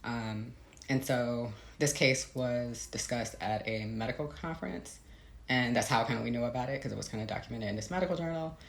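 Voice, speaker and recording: An American woman from the Midwest being interviewed as an author, explaining conversationally with hesitant pauses and hedges. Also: extremely low pitch, gravelly. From Midwestern United States. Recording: roomy echo, faint background noise.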